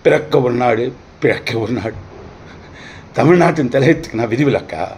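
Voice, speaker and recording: A man playing a wronged, defiant accused brother pleading his case in court, declaiming in theatrical old Tamil film oratory with dramatic pauses. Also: medium pitch, animated, darkish tone, slight background noise, lightly acted. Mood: proud, impassioned.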